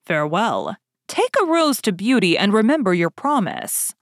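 A woman's voice: medium pitch, sing-song, moderate pace, no room sound, clean studio sound, lightly acted. Woman voicing a stern, commanding storybook beast. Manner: stern, imperious, loudly declaiming. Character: frightful, angry, commanding male beast of a fairy tale. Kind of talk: storybook character voice.

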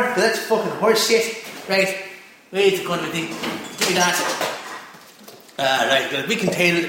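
A man's voice: high-pitched, a bit bright, slight background noise. A man playing a crude, foul-mouthed Irish cook who rants and swears through a cooking parody, in a put-on Irish accent. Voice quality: constant swearing, gruff rants. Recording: very echoey room.

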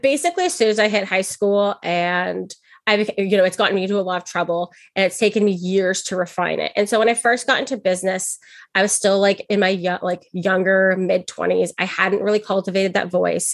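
A woman's voice: medium pitch, steady tone, fairly quick, gravelly, no room sound. A young woman with a mid-range voice talks as an interview guest in a very dry recording. She is outspoken and blunt, chatting casually and candidly with some swearing. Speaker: podcast guest, business owner.

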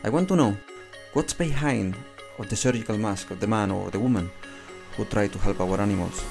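A man's voice: on the low side, vocal fry. Man hosting a podcast over music. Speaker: podcast host. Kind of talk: scripted intro then interview chat.

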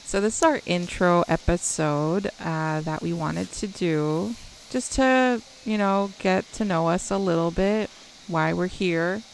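A woman's voice: fairly low pitch, a little slow, gravelly, slight background noise, low-quality recording. A woman co-hosting a podcast, chatting casually and offering supportive reactions. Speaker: podcast co-host. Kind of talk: casual chat.

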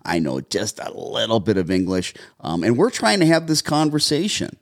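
A man's voice: on the low side, big pitch swings, moderate pace, vocal fry, no room sound, clean studio sound. A man co-hosting a podcast as a dog trainer, explaining training in an emphatic, casual way with many ums.

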